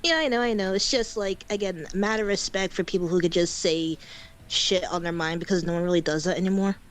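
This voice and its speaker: A woman's voice: lowish voice, fairly quick. A woman chatting casually as a guest on a livestream, giggly and self-deprecating. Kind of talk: casual chat.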